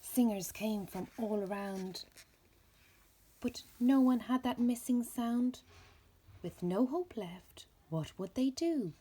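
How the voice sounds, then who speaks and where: A woman with a husky, breathy voice reading a rhyming children's story aloud in slow, deliberate phrases. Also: on the high side, lively intonation, dark tone. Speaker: storybook reader.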